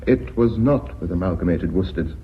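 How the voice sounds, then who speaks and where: A guarded company executive in a radio play, polite and formal. His voice is fairly low, on a dull recording with faint noise. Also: normal speed. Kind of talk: radio drama acting.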